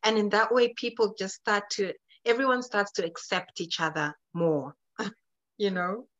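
A woman's voice: mid-range voice, a little slow, a bit bright. A woman interviewing in a casual, encouraging, informal way. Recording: slightly dull, lo-fi audio.

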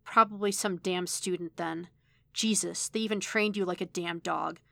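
A woman voicing a harsh, commanding older male handler from a story, gruff and contemptuous, with gravelly, clipped orders. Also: on the low side, steady tone, moderate pace, a bit bright, dry recording. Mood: scornful, resigned. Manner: barking, clipped orders and scornful swearing.

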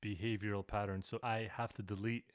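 A man's voice: lowish voice, level tone, brisk, no room sound. A young man hosting a casual podcast interview, asking long reflective questions. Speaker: podcast host.

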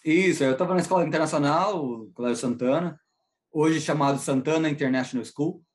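A Brazilian man with a Brazilian Portuguese accent talks quickly, in a loose and rambling way. He is a teacher being interviewed, and his voice sits in a middle-high range for a man, with frequent restarts and tag questions. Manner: rambling, many restarts and "né" tags. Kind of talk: casual conversational interview.

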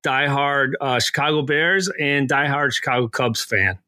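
A middle-aged man from Illinois answering interview questions in a relaxed, easygoing way. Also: mid-range voice, moderate pace, dry recording, clean studio sound. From Aurora, Illinois. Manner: relaxed, easygoing, laughs along. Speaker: guest, Amazon seller.

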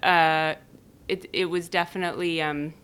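Low-voiced woman, rambling and animated. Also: normal speed, clear, resonant tone. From born in Denmark, raised in California. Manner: animated, rambling, with many fillers and laughs. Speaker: guest, activist and performance artist. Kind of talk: casual conversational interview.

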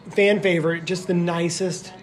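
A man's voice: high voice, little pitch movement, normal speed. A man co-hosting a podcast in a casual, chatty way, full of "like" fillers. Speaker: podcast co-host.

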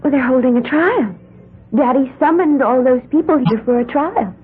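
A woman's voice: high-pitched, normal speed, husky, narrow, tinny audio. A dreamy, babbling young woman. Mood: earnest, dreamy. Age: young adult. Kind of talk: 1970s radio drama acting. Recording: band-limited old radio broadcast.

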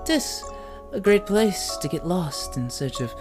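Male actor as teasing fantasy tempter, flamboyant, coaxing, lively pitch, slow pace, audio drama. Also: music in the background. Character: sly, teasing, mischievous courtly tempter.

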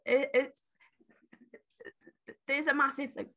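A woman's voice: high voice, flat delivery, slow, sharp tone. A woman who stutters heavily, with many repeats and restarts, talking candidly in an interview about her beauty brand. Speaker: guest, beauty brand founder.

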